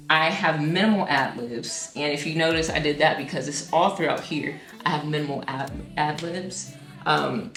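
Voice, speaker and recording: A young woman, a singer-songwriter, casually explains her own song with many ums and restarts and now and then sings a note; her voice is low. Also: fairly bright tone, roomy sound. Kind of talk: casual music breakdown.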